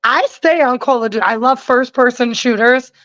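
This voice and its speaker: A woman with a deep, smoky voice, a guest chatting casually on a podcast. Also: normal speed, a bit bright, dry recording, dull audio.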